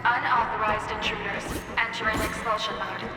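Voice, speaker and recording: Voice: medium pitch, flat delivery, moderate pace, natural voice. A performer voicing an impersonal automated security system in a game, making formal, repetitive announcements. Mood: impersonal warning. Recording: loud game music over the voice.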